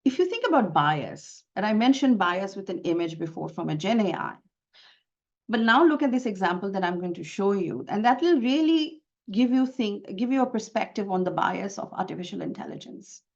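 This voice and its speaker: A woman with a Bangladeshi accent walks viewers through slides in a webinar, earnest and explanatory, stressing her points by repeating words. Her voice sits at a middle pitch. The sound is a little dull, like audio from an online call. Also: very animated, normal speed. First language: Bengali. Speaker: webinar speaker.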